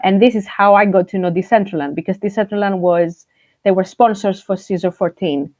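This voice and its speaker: A woman from Greece, talking loosely and warmly as a guest, filling her pauses with "uh" and "um" and restarting her sentences. Her voice sits in a middle pitch. The audio is dry and muffled, like an online voice chat. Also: steady tone, no echo. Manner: chatty, frequent fillers and restarts. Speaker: guest, journalist and content creator. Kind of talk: casual interview chat.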